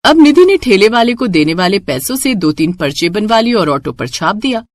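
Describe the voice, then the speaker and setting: A woman narrating a scripted moral story for a cartoon in an expressive storytelling way. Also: medium pitch, moderate pace, no room sound.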